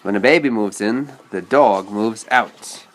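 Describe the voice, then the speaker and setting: A man's voice: on the low side, measured pace, close to an everyday voice. A man voicing a scruffy, streetwise stray dog from a storybook, cynical and knowing in manner.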